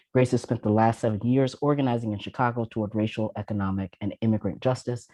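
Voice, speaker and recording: A man introduces a panel, reading each speaker's biography from prepared notes. His voice is mellow and unforced, and the recording is dry and close. He pauses now and then on a filler sound. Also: fairly low pitch, dry recording, poor audio. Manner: measured, reads from notes with some filler "uh"s. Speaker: event host introducing panelists.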